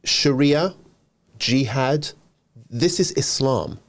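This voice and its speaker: Emphatic, bantering man co-host. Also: mid-range voice. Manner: emphatic, bantering, quick to laugh. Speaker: podcast co-host. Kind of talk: casual discussion podcast.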